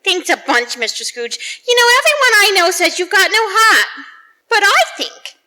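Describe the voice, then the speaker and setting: A woman's voice: extremely high pitch, very animated, moderate pace, bright, forceful tone, full character voice. A woman playing a sassy detective's secretary in an old-time radio drama, wheedling and teasing, in a reverberant recording.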